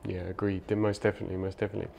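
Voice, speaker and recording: A man's voice: deep voice, flat delivery, rapid-fire, gravelly, mellow. A man hosting a live business interview in an office studio, agreeing readily with his guest. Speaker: interview host.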